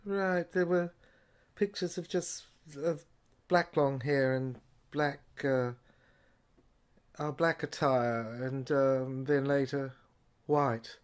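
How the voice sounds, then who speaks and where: A man plays a musing investigator in an audio drama, thinking aloud over notes. He speaks slowly and hesitantly, muttering to himself in a low-key, conversational way. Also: clear, resonant tone, close to an everyday voice. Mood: puzzled, musing. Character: musing male investigator puzzling over someone's handwritten notes.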